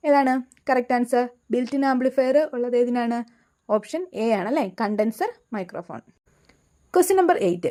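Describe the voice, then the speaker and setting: Slow, mellow Malayali woman tutor. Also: a little high. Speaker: exam tutor. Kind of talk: scripted quiz lesson, reading questions and options.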